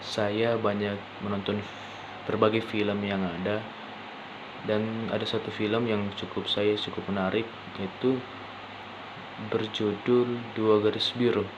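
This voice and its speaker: A young man giving an informal student presentation, speaking haltingly with frequent pauses and rephrasing. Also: on the low side, level tone. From Indonesia. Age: young adult. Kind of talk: informal spoken presentation for a class assignment. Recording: dull lo-fi sound with clear background noise.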